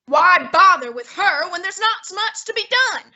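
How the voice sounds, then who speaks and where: A woman playing a fussy, imperious, heavyset male detective in a student radio drama, speaking in a haughty, deliberate, commanding way with a breathy tone. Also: extremely high pitch, animated, sharp tone, highs cut off, exaggerated voice.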